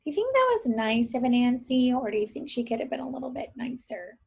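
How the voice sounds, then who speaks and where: A woman teacher reading a story aloud to young children, expressive and often thinking aloud with questions for her listeners. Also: high voice, fast talker, creaky, breathy edge.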